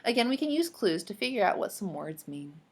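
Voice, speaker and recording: A woman's voice: medium pitch, very animated, brisk. A woman teacher leading a children's read-aloud lesson, explaining in an encouraging way and asking guiding questions. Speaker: speech-language teacher.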